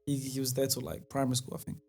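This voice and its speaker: A man's voice: medium pitch, monotone, rapid-fire, gravelly, darkish tone, soft background music. A man, a podcast guest talking casually and restarting his sentences often. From England. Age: 20s. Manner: rambling, hesitant with many restarts. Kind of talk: casual chat.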